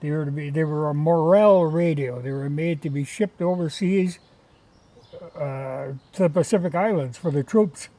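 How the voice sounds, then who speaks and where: A man's voice: a little high, normal speed, dark tone. A man chatting in a folksy, rambling way in a casual, unscripted show-and-tell. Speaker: vlogger showing old radios from a junk collection.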